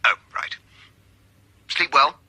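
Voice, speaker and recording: An actor playing a polite, eager-to-help colonial official in a radio drama. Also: male voice, slow pace, natural voice. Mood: obliging. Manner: polite, obliging.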